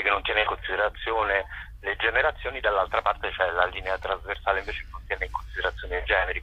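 A man with a very low voice that is bright and forceful. He is being interviewed and explains things off the cuff, haltingly, stretching words and repeating himself as he searches for the next one. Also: husky, lo-fi sound. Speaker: festival artistic director, interview guest.

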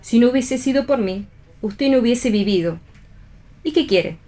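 A woman's voice: a little high, animated, moderate pace, dark tone, lightly acted. A woman acting a proud, possessive rival back from death in a play reading for radio, assertive and declamatory. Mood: defiant.

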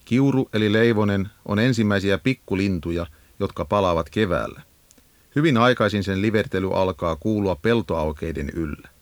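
Guy reading a nature guide script, kinda low voice, measured n instructive, really dry sound. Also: no room sound. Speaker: narrator of a birdsong guide. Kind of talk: scripted educational narration. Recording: 1980s audio cassette narration.

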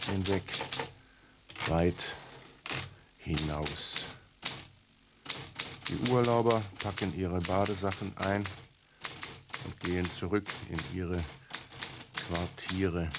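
A woman narrating a radio drama as a solemn storyteller, measured and full of deliberate pauses. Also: rumbling low, very noisy, heavily acted. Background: music.

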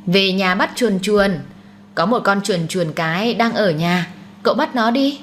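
A woman's voice: medium pitch, measured pace, a little hiss or hum, lightly acted. A woman voicing a naive, childlike young wife who pleads insistently in an audiobook story.

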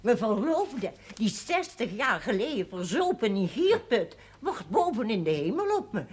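A woman's voice: fairly high pitch, very animated, unhurried, slightly rough, exaggerated voice. An actress playing a spirited, plain-spoken old Dutch village woman in her seventies in a television drama. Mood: cheeky, protesting. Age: 70s. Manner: homely, plain-spoken, cheeky. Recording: faint noise, television soundtrack.

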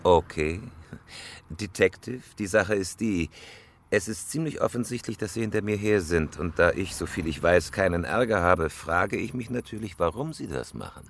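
An actor playing a guarded, cool-headed criminal with a terse, evasive way of talking in a radio crime drama. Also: male voice, low voice, animated, normal speed, creaky, full character voice. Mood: cool, challenging. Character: guarded, cool-headed male criminal posing as ordinary citizen.

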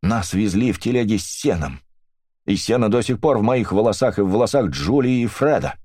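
A man's voice: lowish voice, lively intonation, brisk, mellow, no room sound, clean studio sound, pitched above the performer's own voice. A man voicing a grief-stricken English widow who bitterly accuses another woman, in a storybook reading. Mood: accusing.

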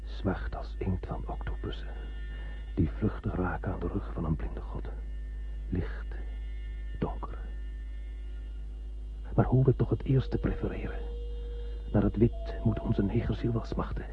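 An actor playing a blind, obsessed and vengeful spaceship captain in a radio drama, solemn and declamatory. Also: male voice, lowish voice, measured pace, pauses often, whispered, lightly acted. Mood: haunted, brooding. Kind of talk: poetic radio drama monologue. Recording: muffled radio play recording, music behind.

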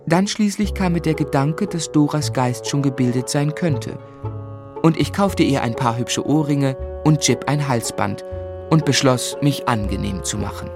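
An actor playing a thoughtful young married writer who tells his life in a German radio drama, measured, earnest and articulate. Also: mid-range voice, over music, close to an everyday voice. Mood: wistful, tender. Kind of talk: literary first-person narration in German radio drama.

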